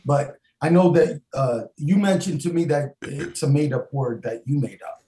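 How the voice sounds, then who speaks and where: A man's voice: on the high side, animated, moderate pace, dark tone. A man hosting a podcast, talking loosely and enthusiastically, with stammering restarts. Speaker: podcast host. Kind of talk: casual podcast chat. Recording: roomy echo.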